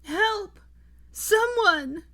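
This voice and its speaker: A distraught young woman, as a woman narrator plays her in an audio story. Her voice is pitched high and full of pleading, desperate emotion. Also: completely flat delivery, slow pace, dark tone, no room sound. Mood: panicked screaming. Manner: emotional, pleading, breathy to crying out. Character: distraught young woman trapped beside dying companion.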